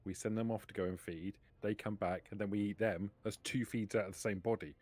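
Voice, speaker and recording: Very deep-voiced man at a game table. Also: fairly even intonation, fairly quick, darkish tone. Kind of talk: casual in-character table talk.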